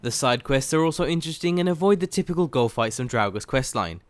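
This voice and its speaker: A man's voice: medium pitch, expressive, fairly quick, dry recording. A man hosting a game mod video review, reading scripted narration. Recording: faint music behind the voice. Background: faint music.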